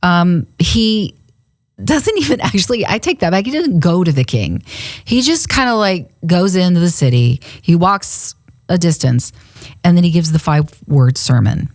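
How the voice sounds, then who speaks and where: A woman's voice: on the low side, lively intonation, normal speed, darkish tone, no room sound, studio quality. A woman co-hosting a faith podcast, chatting casually and laughing often as she retells a Bible story. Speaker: radio and podcast co-host. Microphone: studio microphone.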